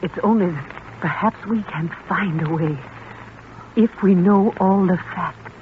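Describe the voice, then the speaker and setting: Casting: wounded, devoted wife, high-pitched and deliberate, 1940s radio thriller, dull old recording. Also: male voice, a little hiss or hum. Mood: gentle resolve. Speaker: radio drama actor.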